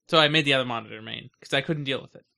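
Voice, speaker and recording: A man co-hosting a casual podcast, talking informally in a halting, self-interrupting way. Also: mid-range voice, vocal fry, sharp tone. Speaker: podcast co-host.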